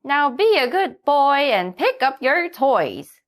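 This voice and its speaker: A fussy hen nanny with a high, lively, sing-song voice that swoops up and down. She clucks over the little one in a storybook, kindly but quick to scold, as a woman reads her part. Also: full character voice. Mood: stern. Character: fussy kindly hen babysitter, female, older.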